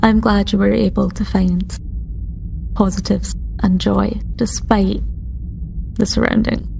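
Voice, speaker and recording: A woman voicing a calm, caring alien starship doctor in a tabletop game, measured, formal and reassuring. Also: medium pitch, creaky, a little hiss or hum. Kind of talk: tabletop roleplay in character.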